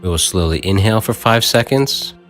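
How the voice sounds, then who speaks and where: A man leading a guided meditation, giving calm, soft instructions and counting breaths with long pauses. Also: on the low side. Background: faint new-age music.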